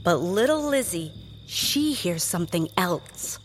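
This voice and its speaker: A woman's voice: on the low side, sing-song, a little slow, faint music behind. A woman narrating a children's drama in a scripted, suspenseful and emphatic storytelling style.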